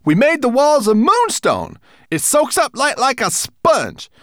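A man voicing a brusque, furry male mountain creature who talks high and impatiently. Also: huge pitch swings, measured pace, a bit bright, dry recording, studio quality, exaggerated voice. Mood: offhand.

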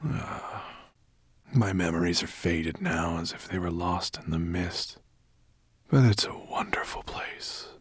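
A man voicing a forgetful old male dragon in a breathy murmur, slow and halting, as in a storybook reading. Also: low-pitched, no echo, clean studio sound. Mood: wistful.

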